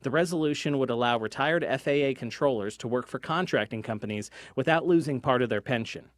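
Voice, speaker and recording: A man's voice: medium pitch, fairly quick, dry recording, studio quality. A man narrating a scripted television news report.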